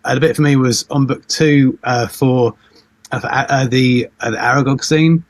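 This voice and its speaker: A man telling casual anecdotes on a panel, haltingly, often repeating words as he finds his way. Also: medium pitch, fairly even intonation, dry recording. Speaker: production team member on a panel.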